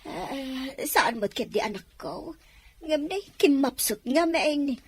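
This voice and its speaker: A woman's voice: high voice, lively intonation, slow, no room sound, heavily acted. An actress with an Ilocano accent playing a distressed elderly mother caring for a sick grandchild in a radio drama. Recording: loud dramatic music underneath.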